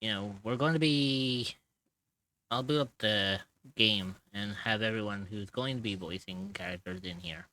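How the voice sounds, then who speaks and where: A man hosting a casual live stream from a home streaming mic. His voice sits a little low for a man but comes across bright and forceful. He rambles loosely and laughs often. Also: measured pace, rough recording. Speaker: streamer hosting a voice-acting session.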